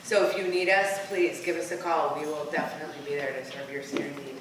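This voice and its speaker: A woman's voice: low-pitched, steady tone, fast. A woman, a business owner, giving a plain acceptance speech at an awards dinner. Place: banquet room at an awards dinner. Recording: distant echoey hall with noise.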